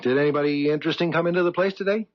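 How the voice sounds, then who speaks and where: An actor playing a chatty, oblivious, folksy middle-aged husband in a 1970s radio drama. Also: male voice, fairly high pitch, rapid-fire, no room sound, natural voice. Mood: chirpy. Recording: dull, dry 1970s radio broadcast.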